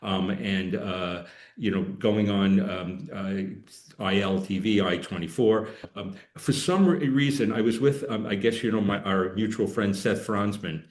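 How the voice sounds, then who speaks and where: The sound is noticeably echoey. A mature man from the United States talks in a fairly low voice as a podcast guest, telling anecdotes casually and rambling with frequent halts. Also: a little slow, darkish tone. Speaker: podcast guest, political analyst. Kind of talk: casual interview talk.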